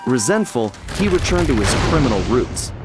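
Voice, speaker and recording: A male actor plays a smooth male hero of a cartoon game, a master thief recounting his capers. He speaks in a mid-range voice with a wry, storytelling manner. Music plays clearly underneath. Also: medium pitch, big pitch swings, normal speed, natural voice. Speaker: narrator. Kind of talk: scripted first-person story narration.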